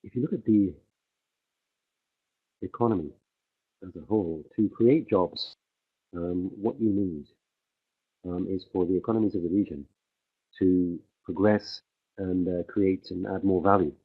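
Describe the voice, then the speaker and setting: A man interpreting a conference speech on the fly, halting often with fillers as he keeps up with the speaker. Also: low voice, slightly rough. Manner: halting, many fillers and restarts while keeping pace with the speaker. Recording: tinny phone-like livestream audio.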